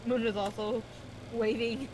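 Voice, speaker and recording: A woman's voice: a little high, flat delivery, unhurried, noisy background. A woman playing a tabletop game, chatting casually and describing what her character does.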